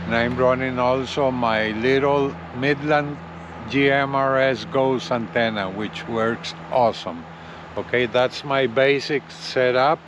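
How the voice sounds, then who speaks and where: A man giving a casual hands-on product demonstration as a reviewer, halting and often checking in with the viewer. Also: mid-range voice, noisy background. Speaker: gadget reviewer presenting a CB radio.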